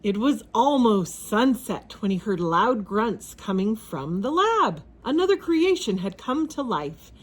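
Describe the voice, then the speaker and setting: A woman reading a children's storybook aloud in a dramatic, expressive narrating style. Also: medium pitch, a little slow, few pauses.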